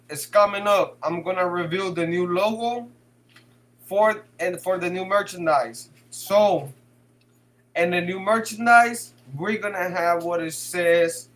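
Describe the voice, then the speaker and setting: Brief: Bay guy hosting a live stream, slangy, cussing, a bit high-voiced, light hum behind. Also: slow, a bit bright. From San Francisco Bay Area. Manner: slangy, swears, laughs a lot. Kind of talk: casual live chat reading comments.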